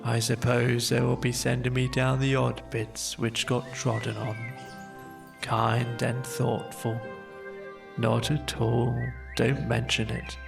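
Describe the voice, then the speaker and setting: A storybook narrator voicing a gloomy, self-pitying donkey in a slow, mournful, resigned way. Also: mid-range voice, level tone, vocal fry, over music.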